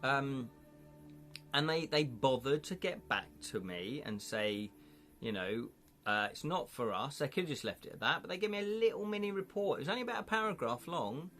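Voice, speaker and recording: An English-accented man rambles through a relaxed live-stream chat, often restarting his sentences as he thinks aloud. His voice sits at a medium pitch, and faint music plays underneath. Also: normal speed. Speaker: livestream host. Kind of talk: casual live-stream chat, reading viewer comments.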